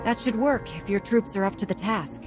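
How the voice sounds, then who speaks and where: A woman playing a resolute, no-nonsense Jedi commander in a video game, speaking firmly and with measured authority. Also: medium pitch, close to an everyday voice. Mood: firm, composed. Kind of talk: video game cutscene acting. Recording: music behind.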